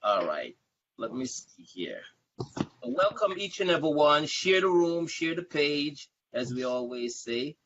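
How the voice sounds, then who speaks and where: A man's voice: fairly high pitch, very animated, unhurried, fairly bright tone, a little hiss or hum. A man hosting a casual live-stream interview, repeating words and phrases for emphasis. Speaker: show host, interviewer. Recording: dull, lo-fi livestream audio.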